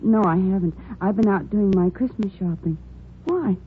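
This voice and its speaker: A woman's voice: medium pitch, normal speed, close to an everyday voice. A woman playing a polite, concerned young elevator operator in a 1940s radio drama, speaking earnestly and plainly. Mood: helpful. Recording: tinny, slightly noisy 1940s radio recording.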